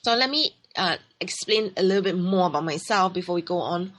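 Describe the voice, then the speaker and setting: A woman's voice: lowish voice, fairly quick, fairly bright tone. A woman with a Singaporean accent hosting a radio talk show on her own, explaining earnestly and sometimes restarting her phrases. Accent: Singaporean English. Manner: earnest, explanatory, reads aloud then speaks freely with restarts. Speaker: radio show host and sexologist.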